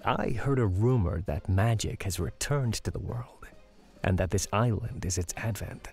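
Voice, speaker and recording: Man acting a husky, wry fantasy seafarer. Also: on the low side, moderate pace, darkish tone, soft background music. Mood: wry, confident. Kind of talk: fantasy audio drama acting.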